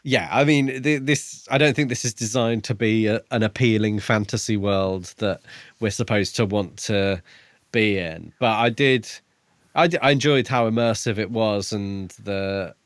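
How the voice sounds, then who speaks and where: A man's voice: fairly low pitch, normal speed, dry recording, clean studio sound. A man co-hosting a podcast, talking casually about books in a hesitant, hedging way. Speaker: podcast co-host. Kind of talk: casual book discussion.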